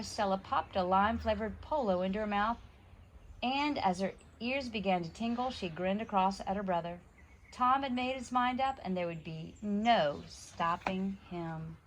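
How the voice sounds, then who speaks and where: A woman reading a children's storybook aloud in an animated way, stressing key words for drama. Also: mid-range voice, slight background noise, low-quality recording.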